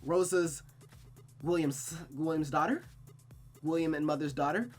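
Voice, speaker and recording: A woman's voice: deep voice, fairly even intonation, unhurried, darkish tone. A woman streaming a visual novel, moving between story narration and casual commentary. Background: music.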